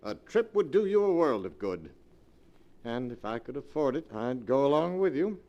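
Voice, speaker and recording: A suave, scheming blackmailer from an old-time radio drama. His lively voice rises and falls as he insinuates. Every line drips with smooth menace. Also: medium pitch, moderate pace, lo-fi sound. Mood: smooth, sly.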